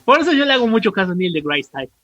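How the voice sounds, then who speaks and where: Casting: Mexican male podcast co-host, high husky voice, casual explainer, on a muffled lo-fi recording. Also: big pitch swings, racing, pushed and bright. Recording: dull lo-fi sound, music behind.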